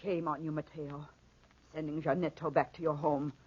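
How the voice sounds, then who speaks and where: Scornful peasant wife, husky nagging voice, 1940s radio drama, muffled recording. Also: female voice, deep voice, little pitch movement, moderate pace, a little mellow. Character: scolding, bitter, fearful peasant wife and mother.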